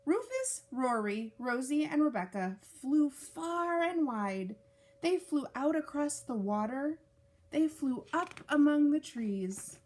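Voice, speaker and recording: Slow, high-voiced woman reading a storybook. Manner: deliberate, expressive phrasing for young children. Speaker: storyteller reading a picture book to children. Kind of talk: read-aloud storytime narration with casual asides.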